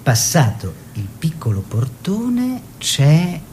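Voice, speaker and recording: Earnest painter, swooping lively delivery. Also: male voice, on the high side, slow, a little hiss or hum, exaggerated voice. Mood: descriptive, lyrical. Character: earnest male painter, lover and teacher of his model. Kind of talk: theatrical radio drama dialogue.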